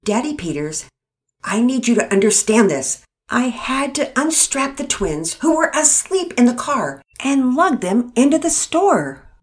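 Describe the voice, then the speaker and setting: A woman's voice: high-pitched, very animated, unhurried, voice pushed up. Woman voicing an angry, huffy mother. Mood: upset. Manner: loud, huffy, rising to tearful. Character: exasperated mother, quick to anger.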